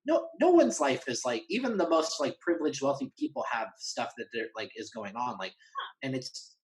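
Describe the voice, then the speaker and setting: A man with a medium-pitched voice talks as a guest in a relaxed conversation. He is candid and earnest, using informal phrasing and often restarting a thought to put it another way. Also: normal speed, poor audio. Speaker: interview guest.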